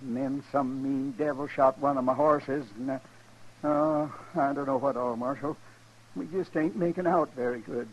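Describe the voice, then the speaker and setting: An actor playing a desperate, humble homesteader, plaintive and folksy, with a rural American accent in a 1950s radio western. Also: male voice, mid-range voice, steady tone, normal speed, close to an everyday voice. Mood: sorrowful. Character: desperate, starving poor homesteader, humble and pleading. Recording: dull old radio recording.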